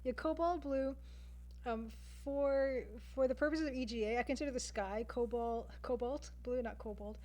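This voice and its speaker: Woman, Canadian accent, fairly high voice, casual livestream chat, chatty, thinking aloud. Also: fairly even intonation, moderate pace, a little mellow. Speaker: livestreamer, game artist. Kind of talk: casual chat with stream viewers while drawing.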